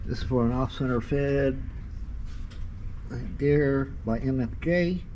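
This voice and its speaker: Male hobby vlogger, informal and halting, off-the-cuff delivery, muffled recording over noticeable traffic-like ambience. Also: medium pitch, darkish tone. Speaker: amateur radio vlogger. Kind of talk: casual rambling walk-around commentary. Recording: dull sound, clear background noise.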